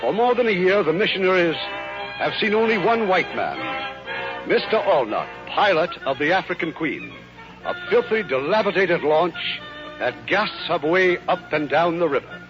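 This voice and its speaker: A man's voice: high voice, big pitch swings, normal speed. A man giving scripted narration as an announcer in 1950s radio style. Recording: dull old radio broadcast recording. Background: music.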